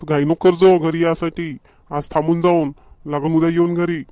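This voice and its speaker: A man's voice: a little high, little pitch movement, normal speed, warm tone, no room sound, close to an everyday voice. A man voicing a fussy middle-aged village father in a cartoon comedy, with a rural Maharashtrian accent and nagging manner. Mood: impatient, hurried. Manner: nagging, repetitive urging. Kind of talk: homemade cartoon comedy dialogue.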